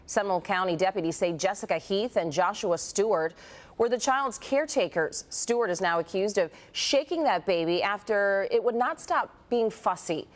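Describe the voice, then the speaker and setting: A woman anchoring a local morning TV newscast, reading stories clearly and steadily. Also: medium pitch. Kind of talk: scripted news reading.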